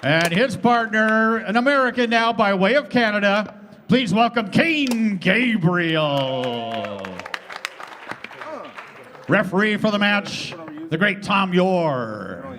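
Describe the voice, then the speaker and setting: A man making slow, drawn-out formal introductions of the players before a match. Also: high-pitched, expressive, crowd noise, background noise.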